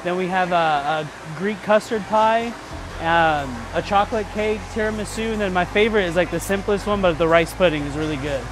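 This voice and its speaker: A man's voice: high-pitched, fairly quick. A male server with a Texan accent, telling a table about the desserts in a friendly way. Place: restaurant dining room. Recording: background noise.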